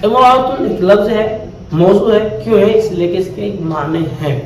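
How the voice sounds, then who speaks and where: A high-voiced man teaches a step-by-step grammar lesson, going back over each definition patiently. He sounds a little away from the mic in a very echoey room, with a faint hum behind him. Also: animated. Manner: patient, repeats key definitions slowly. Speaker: Arabic teacher. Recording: echoey room heard from a distance.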